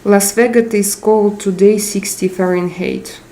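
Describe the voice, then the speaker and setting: A woman with a Russian accent chatting casually on a live stream, reading out viewer comments and hunting for English words with frequent pauses. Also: mid-range voice, fairly even intonation, mellow, poor audio. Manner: slow, searching for English words with frequent hesitations.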